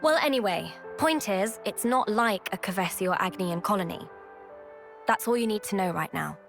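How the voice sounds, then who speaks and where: Give this one a lively swing in pitch: a confident, easygoing young woman from a game cutscene. Her voice sits at a middle height, with faint music behind it. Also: moderate pace, vocal fry. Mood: matter-of-fact. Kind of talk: video game cutscene acting.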